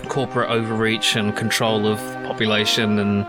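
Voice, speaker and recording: A man's voice: lowish voice, flat delivery, moderate pace, over loud music. A man giving casual, musing game commentary as a let's play host, hesitant and lightly amused.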